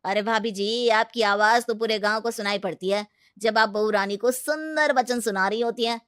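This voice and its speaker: A woman voicing a blunt but respectful male village council elder in a comedy story, earnest and gently mocking. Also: a little high, normal speed, clear, resonant tone, no echo, studio quality, close to an everyday voice. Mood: chiding.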